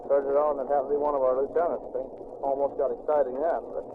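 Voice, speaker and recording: Young Marine from Montana, brief answers. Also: male voice, medium pitch, little pitch movement, rapid-fire. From Montana, USA. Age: young adult. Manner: plain-spoken, brief, modest answers. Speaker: Marine interviewee. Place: aboard a navy landing ship. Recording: tinny lo-fi 1940s radio recording with hiss.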